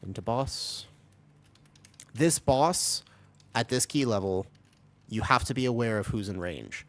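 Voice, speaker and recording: A man streaming a video game live, chatting with viewers and explaining tactics while he plays, his voice still raspy from being sick. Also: mid-range voice, expressive, measured pace. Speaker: streamer playing a tank. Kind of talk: live gameplay commentary.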